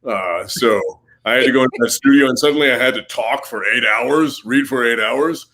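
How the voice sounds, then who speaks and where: A man's voice: medium pitch, moderate pace, pushed and bright. A man appearing as a writer guest on an interview podcast, chatting casually in a self-deprecating, joking manner. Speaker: comic book writer, guest.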